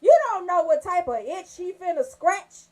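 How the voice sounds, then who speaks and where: A woman's voice: very high voice, moderate pace, background noise. A woman hosting a comedy radio show, delivering raunchy monologue and banter in a brash, emphatic way. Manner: brash, animated storytelling with emphatic check-ins.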